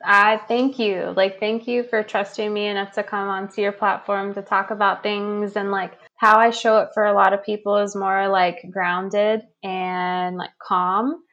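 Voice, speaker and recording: A woman with a slightly raspy voice, a podcast guest speaking bluntly and casually with lots of "like.". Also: mid-range voice, little pitch movement, a little slow, hardly any pauses, fairly bright tone, muffled recording. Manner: blunt, fervent, peppered with "like". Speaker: podcast guest, human design teacher. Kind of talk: casual conversation.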